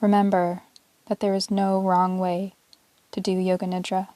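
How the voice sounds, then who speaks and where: A woman teaching yoga, guiding a relaxation meditation in a calm, measured, soothing way with long pauses between short phrases. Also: medium pitch, no echo. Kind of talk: guided yoga nidra meditation, relaxation narration.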